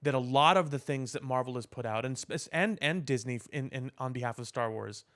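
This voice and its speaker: A man co-hosting a casual podcast discussion, giving opinions in a halting way, repeating words as he builds his point. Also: medium pitch, fairly bright tone. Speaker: podcast co-host.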